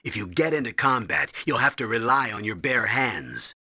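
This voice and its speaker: An actor playing a firm, commanding military officer in a video game, giving emphatic instructions in a raspy voice. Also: male voice, mid-range voice, sing-song, moderate pace, bright, forceful tone, dry recording, studio quality, exaggerated voice. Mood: stern, instructive. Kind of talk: video game tutorial voice acting.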